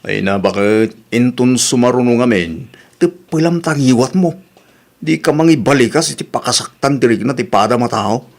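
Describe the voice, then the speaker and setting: A man's voice: medium pitch, expressive, normal speed, close to an everyday voice. A man acting a scolding Ilocano village husband. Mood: exasperated, lecturing. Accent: Filipino, Ilocano. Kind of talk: Ilocano radio comedy drama acting.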